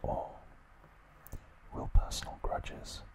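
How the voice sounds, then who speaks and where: A man hosts ASMR in a whisper, with no full voice behind the words. He goes very slowly and deliberately, leaving pauses between short phrases as he narrates trivia in a hushed way. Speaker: ASMR video host.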